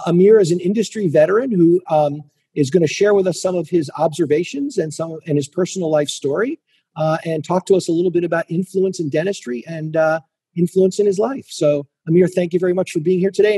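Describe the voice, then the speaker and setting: Very husky-voiced male interview host. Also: on the high side, fairly quick, warm tone. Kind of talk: conversational interviewing.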